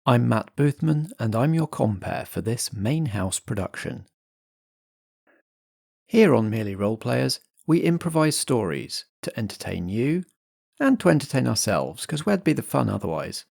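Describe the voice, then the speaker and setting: A man's voice: medium pitch, sing-song, normal speed, vocal fry, darkish tone, no echo, studio quality. A man delivering a scripted introduction as the host and compere of a show.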